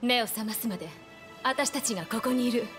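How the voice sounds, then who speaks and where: A woman's voice: fairly high pitch, brisk, fairly bright tone, faint music behind, lightly acted. A woman playing a spirited, caring young woman of old Japan in a video game, with a familiar, plain-spoken feminine manner. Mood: subdued, resolute. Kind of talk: video game cutscene acting.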